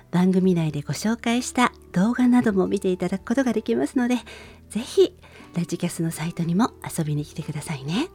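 A woman hosting a radio show, making scripted announcements in a polite, clearly phrased way. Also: medium pitch, darkish tone.

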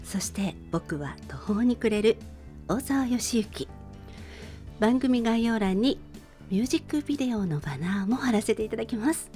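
A middle-aged woman hosting a radio show, talking in a soft-spoken way as she introduces a song. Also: a little high, expressive, moderate pace, faint music behind.

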